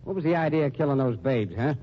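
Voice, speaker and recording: An actor playing a cynical, taunting police lieutenant, mocking and needling, in an old-time radio mystery. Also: male voice, fairly high pitch, fast, natural voice. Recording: dull old radio recording.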